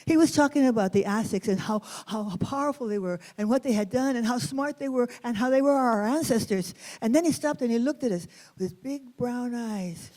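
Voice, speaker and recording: A woman's voice: a little high, moderate pace, few pauses, dark tone, poor audio. A woman storyteller from East Los Angeles, with an accent from there, telling a personal story expressively, weaving in Spanish words and translating them.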